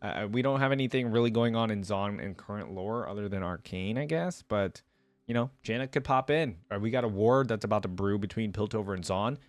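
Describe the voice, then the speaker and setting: A man's voice: fairly low pitch, fairly quick, no echo. A young adult man, hosting a reaction video in casual, rambling chat.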